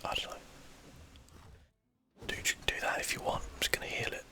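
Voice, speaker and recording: A person whispering casual ASMR gameplay commentary, breathy and unvoiced, thinking aloud as they play. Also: a little fast, pushed and bright. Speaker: gaming video host.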